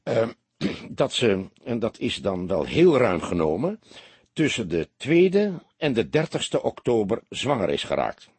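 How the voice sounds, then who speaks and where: A man's voice: on the low side, sing-song, unhurried, a little mellow, breathy edge, no room sound, heavily acted. A man voicing a restless, insecure young detective in an audiobook, an eager junior who speaks hesitantly and questioningly. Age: young adult. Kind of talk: audiobook character dialogue.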